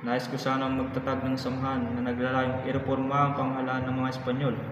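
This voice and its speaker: A man's voice: medium pitch, completely flat delivery, fast, lightly acted. A man with a Filipino accent playing an earnest young national hero, narrating his own travels in a student radio drama. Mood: earnest, polite. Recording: muffled, noisy, echoey hall recording.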